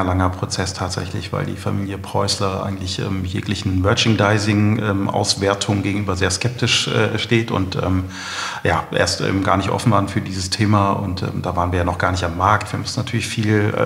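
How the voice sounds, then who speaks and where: A middle-aged man with a low voice explains in a conversational, halting way, filling gaps with ums. His speech has a gravelly fry, and the room rings with long reverb. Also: a bit bright. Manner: hesitant, halting delivery with frequent ums. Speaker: company founder, interview guest.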